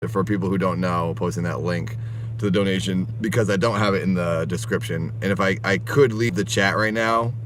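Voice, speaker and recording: A man with a hoarse, raspy voice pitched a bit low rambles through a casual live stream, laughing often as he talks. He is from Massachusetts and speaks into a phone outdoors. A faint hum of passing cars sits behind him. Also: fairly even intonation, fairly quick. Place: outdoors, walking and driving around town.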